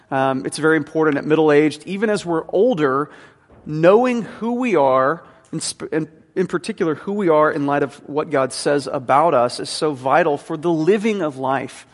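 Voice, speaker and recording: A man in his thirties, a teaching pastor giving a conversational sermon with frequent fillers, in a church sanctuary. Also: on the high side. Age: 30s. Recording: long hall reverb.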